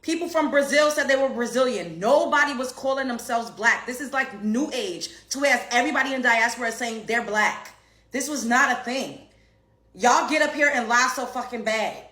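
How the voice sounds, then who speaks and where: A woman's voice: on the high side, moderate pace, bright, forceful tone. A woman, ranting bluntly and profanely as an online commentator and comedian. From Brooklyn, New York. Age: 30s. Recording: roomy echo.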